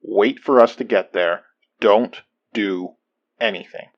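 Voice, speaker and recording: A woman's voice: very deep voice, slow pace, highs cut off, full character voice. A woman playing a concerned, commanding detective in an audio drama, firm and protective. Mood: alarmed, firm. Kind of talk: naturalistic audio drama acting.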